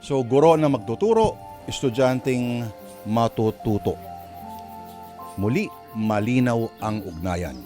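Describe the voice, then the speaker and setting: Theatrical male narrator with very animated pitch. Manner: theatrical, emphatic, with pauses between phrases. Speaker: radio drama host and narrator. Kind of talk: dramatic scripted narration. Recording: music playing behind the voice.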